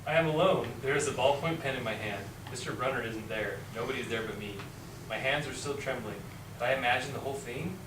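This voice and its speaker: A man's voice: mid-range voice, quick pace, natural voice. A man playing a troubled, put-upon twelve-year-old schoolboy who tells his story earnestly in amateur reader's theater. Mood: confused. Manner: earnest first-person storytelling. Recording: echoey room, distant mic, clear noise.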